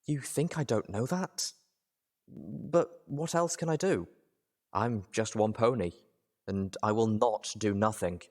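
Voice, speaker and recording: A man voicing a poised, cool-headed female pony in a story reading, speaking in a measured and gently reproving way. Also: on the low side. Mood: pained, resigned.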